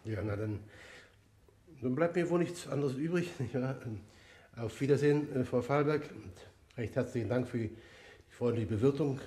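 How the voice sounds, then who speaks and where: A man plays a small-time crook in an old radio drama. He talks his way around things in a husky, middle-pitched voice, chummy, evasive and full of halting repetitions. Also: mellow, natural voice. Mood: hesitant, polite. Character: wily, talkative small-time crook playing innocent. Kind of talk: German radio play acting around 1960.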